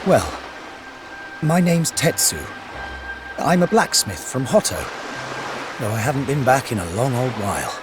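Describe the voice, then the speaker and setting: A weary, downcast blacksmith. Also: male voice, medium pitch, sing-song. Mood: sorrowful. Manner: glum, wary, slow storytelling. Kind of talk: video game cutscene dialogue. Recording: game audio with loud music behind.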